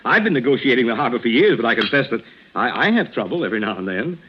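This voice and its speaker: An actor playing a polite, folksy bachelor and family friend in a theatrical 1940s radio drama style. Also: male voice, mid-range voice, sing-song, fast talker, natural voice. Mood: hearty. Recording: muffled, noisy vintage radio recording.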